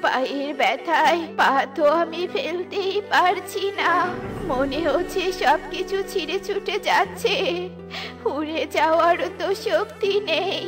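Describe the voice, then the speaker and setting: An aged granny bird from a children's cartoon, acted by a woman, speaks slowly and wearily. She groans with pain as she talks, in a high voice over music. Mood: pained, weary. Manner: groaning, slow, complaining of pain. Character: weary, suffering old female bird granny.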